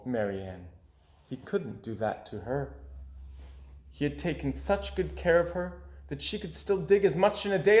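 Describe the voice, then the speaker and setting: A man reading a children's story aloud expressively, with dramatic delivery that drops to hushed phrase endings. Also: fairly high pitch. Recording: echoey room.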